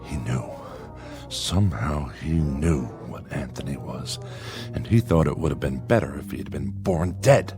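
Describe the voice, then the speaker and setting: An actor playing an anxious middle-aged small-town father in a radio drama, folksy and halting, placating his feared son with forced cheer. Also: extremely low pitch, lively intonation, music in the background, heavily acted. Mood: bitter, despairing. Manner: folksy, rustic grammar.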